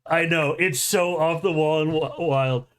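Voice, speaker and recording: A man's voice: a little high, fairly even intonation, a little slow, a bit bright. A man hosting a podcast, chatting casually and enthusiastically in a rambling way with frequent chuckles. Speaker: podcast host. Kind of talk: casual chat about anime.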